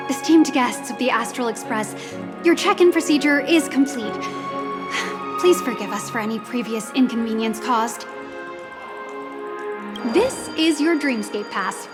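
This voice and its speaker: High-voiced, courteous hotel receptionist. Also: female voice, lively intonation, a little slow, long pauses. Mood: polite, welcoming. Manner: formal, polite, service-minded. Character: courteous female hotel receptionist welcoming esteemed guests. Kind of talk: scripted English video game dialogue. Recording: prominent music behind the voice.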